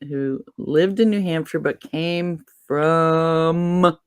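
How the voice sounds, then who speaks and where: A woman's voice: low-pitched, drawn-out words, clean tone, no echo. A woman from Massachusetts chatting casually as host, rambling and losing her train of thought. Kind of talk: casual chat.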